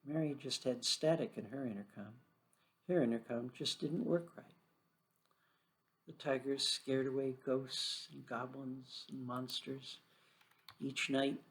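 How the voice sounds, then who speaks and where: A man's voice: medium pitch, poor audio. A man reading a scripted children's story aloud as the storyteller.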